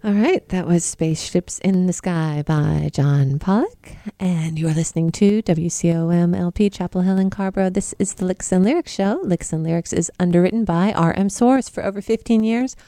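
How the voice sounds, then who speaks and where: A woman's voice: lowish voice, moderate pace, few pauses, mellow, no room sound. Chatty woman radio host, dry studio. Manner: chatty, with restarts and fillers. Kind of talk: casual radio interview.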